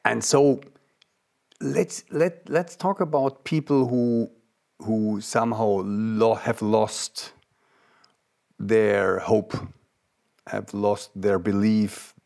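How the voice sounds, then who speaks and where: Older male host, low voice, unhurried halting delivery, reflective conversation. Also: creaky, a little mellow. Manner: halting, word-searching delivery. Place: indoor room, seated.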